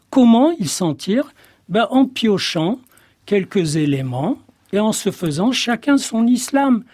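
An older man from Morocco whose first language is Arabic, speaking as a guest in an intellectual panel discussion, measured and reflective with frequent hesitations. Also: on the high side, dark tone, no room sound.

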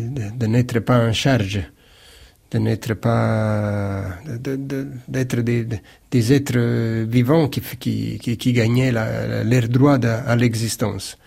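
A man from Naples whose first language is Neapolitan, speaking with an Italian accent as a writer in an interview, halting and searching for words with many repeated starts. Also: lowish voice, gravelly, a little mellow. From Naples, Italy. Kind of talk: reflective interview answer.

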